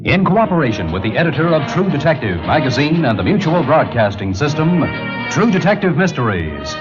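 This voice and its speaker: A man's voice: on the high side, sing-song, brisk, over loud music. A man making emphatic, showy sponsor announcements in 1940s radio style. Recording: muffled vintage radio recording.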